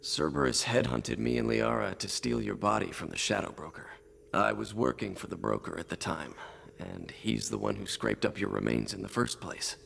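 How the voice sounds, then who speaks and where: An actor playing a weary, dry alien ex-information trader in a video game, with a gravelly rasp and clipped delivery. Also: male voice, lowish voice, normal speed, a bit bright, a little hiss or hum. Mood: dry, guarded. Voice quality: gravelly, rasping.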